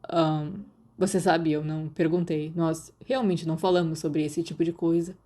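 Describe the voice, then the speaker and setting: A woman's voice: low-pitched, steady tone, moderate pace, warm tone. Woman reading as a reserved Brazilian teenage boy. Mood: evasive. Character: guarded, reticent teenage boy, fond of his friends.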